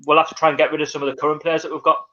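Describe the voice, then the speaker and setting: A quick, chatty Geordie man hosting a live stream, talking in a slightly higher voice than most men. He reads comments and riffs on them with plenty of "uh" and "you know", and the audio is dull and lo-fi. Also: level tone, pushed and bright. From North East England. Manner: reads viewer comments aloud and reacts, chatty with many fillers. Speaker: livestream host. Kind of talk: casual live-stream chat.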